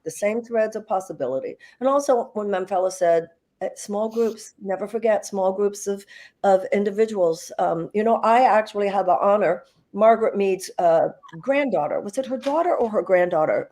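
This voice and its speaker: A woman's voice: medium pitch, lively intonation, normal speed, dark tone. An older woman from the United States, speaking earnestly and reflectively as a guest on an online panel, with many fillers and restarts. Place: video call.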